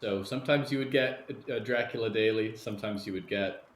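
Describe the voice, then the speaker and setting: A man's voice: on the low side, brisk. A man hosting an interview in a conversational way, phrasing a question to his guests. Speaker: interview host. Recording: roomy echo, faint background noise.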